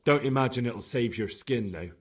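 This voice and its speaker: An actor playing a stern young investigator in a video game, asking short, blunt questions. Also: male voice, medium pitch, normal speed, lightly acted. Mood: stern, probing. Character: young male investigator, stern, direct and probing. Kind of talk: dramatic video game dialogue.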